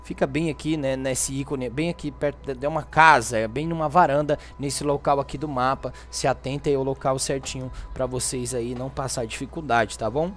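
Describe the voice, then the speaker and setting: A man's voice: mid-range voice, steady tone, brisk, few pauses, a bit bright, soft background music. A Brazilian man hosting a gaming channel, giving a casual, chatty walkthrough in informal speech peppered with little check-in tag questions. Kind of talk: casual video game walkthrough commentary.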